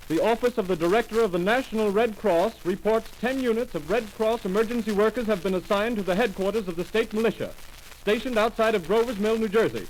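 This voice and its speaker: An actor playing a radio announcer reading urgent news bulletins in a crisp manner, in a 1930s radio drama. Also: male voice, sits high, darkish tone. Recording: scratchy 1930s radio recording.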